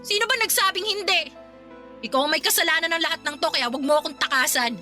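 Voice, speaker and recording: A bitter young Filipina student with a very high, bright voice. She is blunt and defiant, scolding in a Filipino accent, in radio drama style. Soft music plays underneath. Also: fast talker. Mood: stern. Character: defiant pregnant young student, bitter, sharp-tongued girlfriend.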